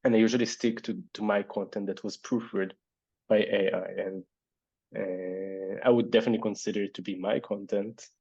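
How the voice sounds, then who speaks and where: A man with a Hebrew accent and a fairly low, mellow voice hosts a casual online panel. He thinks aloud and hesitates, restarting his sentences. The sound is dry and a bit dull, like a lo-fi video call. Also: fairly even intonation, moderate pace, slightly rough, no room sound. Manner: thoughtful, hesitant, many fillers and restarts. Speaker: event host reading audience questions.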